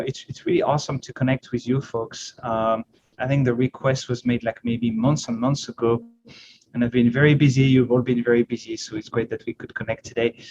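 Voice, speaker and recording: A middle-aged man from northern France speaks with a French accent in a medium-pitched voice. He talks informally as a guest speaker, hesitating and gently mocking himself. The sound is a slightly dull video call with noticeable noise behind it. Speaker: guest speaker, startup CEO. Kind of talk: informal guest talk to a class. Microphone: video call microphone. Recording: noisy, muffled video call audio.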